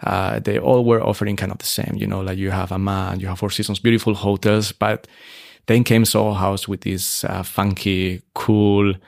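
A deep-voiced man talks through his career at an easy pace. His voice is low and gravelly, full of "uh" and restarts. The sound is dry and close. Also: moderate pace, few pauses, dry recording. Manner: relaxed, many fillers and restarts. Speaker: hotel operations expert, guest. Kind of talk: casual interview conversation.

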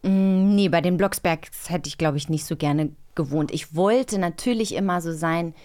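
Lower-voiced woman co-hosting a chatty podcast. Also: normal speed, a little mellow. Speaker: podcast co-host. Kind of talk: casual conversational chat.

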